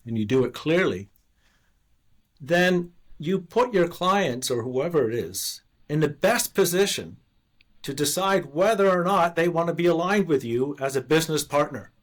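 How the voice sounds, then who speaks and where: A man's voice: a little high, expressive, moderate pace. A man in his sixties from Canada, a former investor being interviewed, telling his story in a candid, earnest way. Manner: candid, earnest, restarts and self-deprecating laughs. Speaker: guest, former investor and author.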